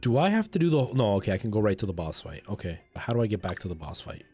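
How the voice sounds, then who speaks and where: A young man from Canada chatting casually while live streaming a game, expressive and prone to repeating himself for emphasis. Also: fairly low pitch, fast talker. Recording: music playing behind the voice.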